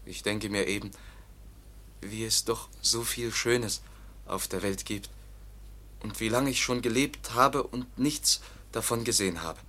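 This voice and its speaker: A man's voice: lowish voice, a little slow, bright, forceful tone. An actor playing a fearful, childlike young man in a radio drama, speaking plaintively and anxiously. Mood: sorrowful.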